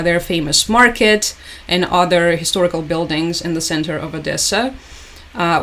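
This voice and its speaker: A woman whose first language is Russian, hosting a live stream where she comments on the news and greets her chat in a resigned, hesitant way, with many fillers and restarts. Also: fairly low pitch. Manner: resigned, repeats "unfortunately" and "as always". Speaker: livestream host.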